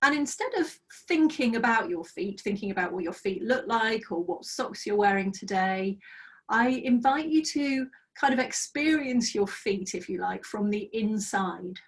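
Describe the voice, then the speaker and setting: A middle-aged woman leading a guided mindfulness practice over a video call, speaking slowly and soothingly with gentle pauses, her voice slightly croaky. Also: mid-range voice, lively intonation, recorded from a distance. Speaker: mindfulness trainer. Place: small office with bookshelves, indoors. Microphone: laptop webcam on a video call.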